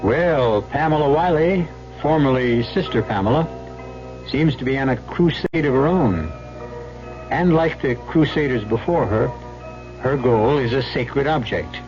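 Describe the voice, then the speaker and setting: Casting: male mystery-show narrator, medium pitch, measured and portentous, muffled vintage broadcast over music. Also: animated. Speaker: host and narrator. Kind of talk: theatrical scripted radio narration. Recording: muffled vintage radio recording. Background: prominent music.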